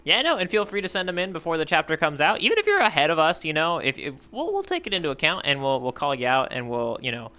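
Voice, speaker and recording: A man's voice: fairly high pitch, huge pitch swings, fast, hardly any pauses, sharp tone, smooth. A man co-hosting a podcast in casual comedic chat, often repeating words as he thinks aloud and playfully mock-solemn. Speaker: podcast co-host.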